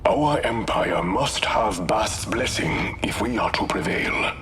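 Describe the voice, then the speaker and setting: A man's voice: fairly low pitch, expressive, takes it slowly, noisy background. An actor playing a dignified, resolute warrior king in a video game, formal and solemn. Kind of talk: game voice acting, formal diction.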